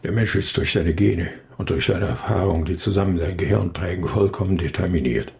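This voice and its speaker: An actor playing an injured, grieving male professor in a radio crime drama, talking haltingly but insistently in a weakened voice. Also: low voice, vocal fry, a little mellow. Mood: stubborn, lecturing. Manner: halting, hesitant, yet insistent. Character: injured male brain research professor, bereaved, weary, stubbornly convinced.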